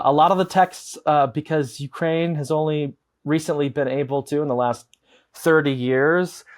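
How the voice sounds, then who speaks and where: A young man from the United States, a guest on a video call, explaining things at length in an earnest, measured way through wired earbuds. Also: medium pitch. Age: young adult. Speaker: guest, advocate. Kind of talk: explanatory interview talk. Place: indoor home room.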